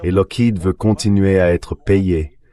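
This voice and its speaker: Male commentator, notably low voice, translated synthetic read of conversational political analysis. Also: steady tone, measured pace, warm tone. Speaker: guest commentator.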